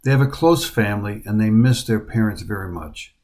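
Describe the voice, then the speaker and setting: A middle-aged American man narrating his hiking trip in a voice-over, with an even storytelling voice and a dry, wry humor. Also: lowish voice, brisk. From United States. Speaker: hiking vlogger. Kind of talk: scripted travel narration.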